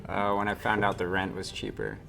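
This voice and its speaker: A man speaking slowly and thoughtfully as a guest on a couples show. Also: fairly low pitch, flat delivery, creaky, background noise. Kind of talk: casual chat.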